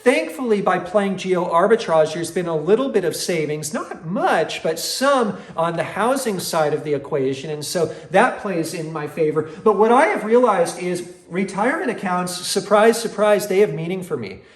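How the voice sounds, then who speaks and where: A man's voice: high-pitched, expressive, moderate pace, hardly any pauses. A man in his forties explaining things to viewers as a host, in an emphatic manner, repeating words for stress. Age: 40s. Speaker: host of an investing channel. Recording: roomy, echoing room sound.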